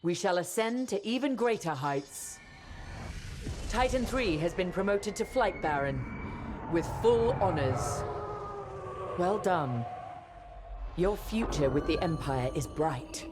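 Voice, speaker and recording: Proud female villain commander, deliberate, declamatory, animated intonation, low, game cutscene. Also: over music. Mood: proud menace. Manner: grandiose, measured, declamatory. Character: proud, ruthless Imperial squadron leader making a speech. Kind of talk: cinematic game monologue.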